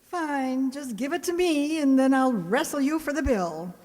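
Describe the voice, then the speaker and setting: Woman acting a wisecracking schoolteacher, high voice, dry quips, radio comedy, echoey hall. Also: expressive, a little slow, a little mellow. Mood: wry. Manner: dry, quipping. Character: wry, wisecracking single schoolteacher. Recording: echoey hall, long reverb.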